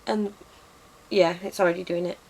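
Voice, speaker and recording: Slightly croaky chatty young Englishwoman. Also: lowish voice, steady tone, normal speed, darkish tone, poor audio. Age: young adult. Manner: chatty and self-mocking, lots of ums and restarts. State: slightly hoarse, losing her voice. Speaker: beauty vlogger. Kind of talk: casual makeup tutorial chat. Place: bedroom with bookshelves, indoors.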